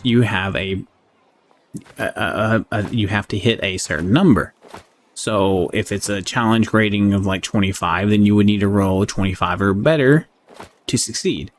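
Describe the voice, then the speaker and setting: A man's voice: lowish voice, normal speed. A man giving a casual game review, rambling and thinking aloud as he goes, with an easy readiness to chuckle. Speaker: gaming channel host. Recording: clearly audible background noise.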